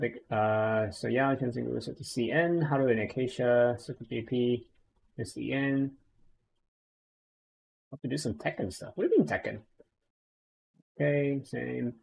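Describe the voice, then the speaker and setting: A man's voice: mid-range voice, gravelly, a little mellow, husky, low-quality recording. A man streaming a game, chatting casually and rambling with lots of fillers. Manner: rambling, many fillers and restarts. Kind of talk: casual gaming commentary.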